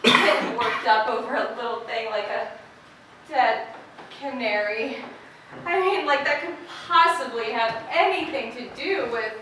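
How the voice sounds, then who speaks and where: Female, stage drama: actress as timid dutiful wife, earnest and halting, slow delivery, heard far off in an echoing room. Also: fairly high pitch, animated. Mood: nervous. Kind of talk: amateur stage play acting. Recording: echoey stage, distant mic, faint noise.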